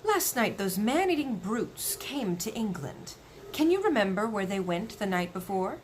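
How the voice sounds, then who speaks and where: A woman voicing a commanding, unflappable storybook queen in a brisk, matter-of-fact manner. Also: mid-range voice, sing-song, slight background noise, lightly acted.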